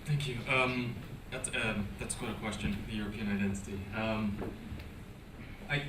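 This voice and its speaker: A man's voice: lowish voice, fairly even intonation, normal speed. A man speaking on a conference panel, hesitant, with many fillers and restarts. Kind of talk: panel discussion. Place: conference hall. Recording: echoey conference hall from a distance, background noise.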